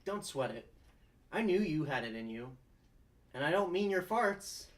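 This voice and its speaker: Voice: medium pitch, big pitch swings, moderate pace, lightly acted. A young performer playing an earnest, easygoing young male lawyer in a sitcom table read, conversational and easily startled.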